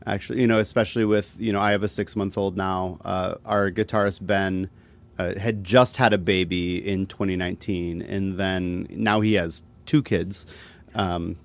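Male musician guest, lower voice, relaxed and self-deprecating, conversational interview delivery with hesitant phrasing. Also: moderate pace. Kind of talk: casual interview chat.

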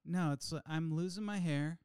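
A man in his late thirties co-hosting a podcast in casual chat, telling stories in an animated, insistent way. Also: on the high side, moderate pace, clean tone, no echo, clean studio sound. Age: 30s. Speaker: podcast co-host.

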